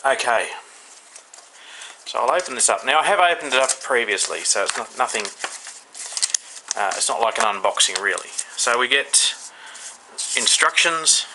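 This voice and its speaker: A man with an Australian accent giving a casual, unscripted hands-on product review, haltingly thinking aloud. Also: medium pitch, animated. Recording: faint background noise.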